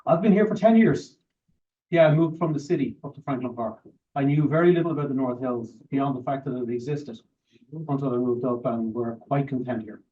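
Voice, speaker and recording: A man's voice: mid-range voice, warm tone. Irish man in his forties, courteous applicant, far from the mic on a tinny line. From Ireland. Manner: measured and courteous. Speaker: board applicant. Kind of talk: formal interview answers. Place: council meeting room. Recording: tinny, distant council-room audio.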